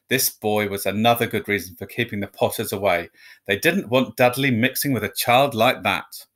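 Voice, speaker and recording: A man reading a children's story aloud to students, with measured phrasing and expressive, dramatic emphasis. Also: medium pitch.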